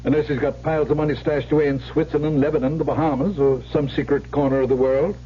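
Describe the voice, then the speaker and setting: A man's voice: on the high side, steady tone, fast talker, a little hiss or hum, close to an everyday voice. An actor playing a blunt, fatherly middle-aged family lawyer in a radio drama. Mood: grim, blunt. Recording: dull, humming old radio recording.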